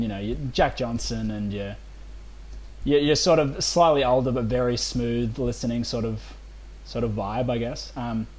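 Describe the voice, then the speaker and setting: An Australian man in his twenties talks as a guest in a relaxed-format chat. His voice is mid-pitched and his phrasing is informal and conversational. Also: a little hiss or hum, low-quality recording. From Melbourne, Australia. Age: 20s. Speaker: band vocalist, podcast guest. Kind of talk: casual chat.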